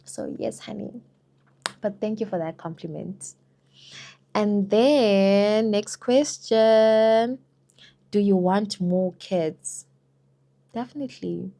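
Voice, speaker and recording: A woman's voice: medium pitch, slow pace, vocal fry, pauses often, warm tone. A young South African woman answering viewer questions in a casual, chatty vlog, often saying "girl" and "you know". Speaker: vlogger.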